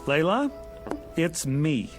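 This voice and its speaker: Desperate romantic suitor: lively, highish voice, effusive vintage TV drama, light music underneath. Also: male voice, slow. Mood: pleading. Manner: eager, effusive. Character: lovesick, desperate, impetuous young suitor. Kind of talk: vintage television drama acting.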